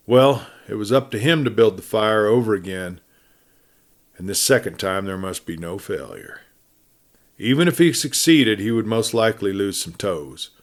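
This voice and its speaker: A man reading a classic adventure tale aloud as a storyteller, in a measured, dramatic way with phrase endings trailing off softly. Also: on the low side. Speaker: audiobook narrator.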